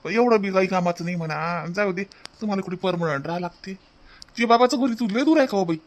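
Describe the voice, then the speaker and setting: An easygoing older village father-in-law from a cartoon comedy , acted by a man, pitched high for a man. He rambles along and repeats himself in a folksy Vidarbha Marathi accent. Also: quick pace, lightly acted. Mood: musing. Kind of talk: rustic cartoon comedy dubbing.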